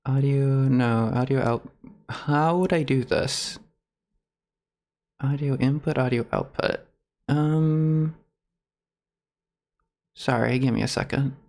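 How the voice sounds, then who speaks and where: A man chatting casually on a livestream in a halting, rambling, giggly way. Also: medium pitch, vocal fry, a little mellow. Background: video game music.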